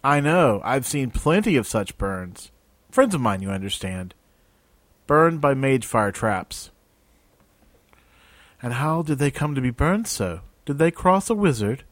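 A man voicing a gentle, earnest man of faith who speaks in an old-fashioned way. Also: mid-range voice, sing-song, a little slow, long pauses, no echo.

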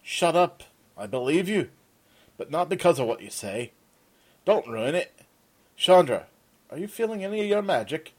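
A man voicing a hot-tempered young sergeant who shouts and exclaims, in an audiobook reading. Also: on the high side, sing-song, normal speed, pauses often, pitched above the performer's own voice. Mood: commanding. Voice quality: raised, exclaiming.

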